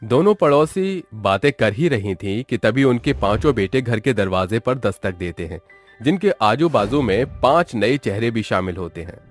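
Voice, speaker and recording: A man's voice: on the low side, lively intonation, moderate pace, faint music behind. A man giving scripted storybook narration as the narrator of a tale.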